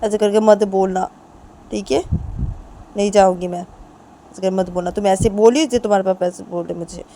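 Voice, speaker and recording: A woman voices a wounded, reproachful mother in a homemade story drama. She admonishes a child over and over and turns the blame on herself, with clear background noise. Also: medium pitch, steady tone, moderate pace, pauses often, warm tone, close to an everyday voice. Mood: firm, soothing. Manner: repetitive, self-blaming, admonishing. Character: hurt, reproachful mother gently scolding a child. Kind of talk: amateur story acting.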